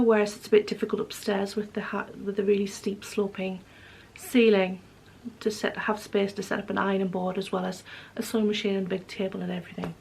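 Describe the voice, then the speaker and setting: A woman chats casually from her living room, her voice hoarse and croaky from a cold, with a gravelly rasp. She rambles through her plans, correcting herself and filling pauses with ums. Her pitch sits in a middle range. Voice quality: croaky, husky. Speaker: knitting vlogger. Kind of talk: casual vlog chat. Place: home living room with wood stove.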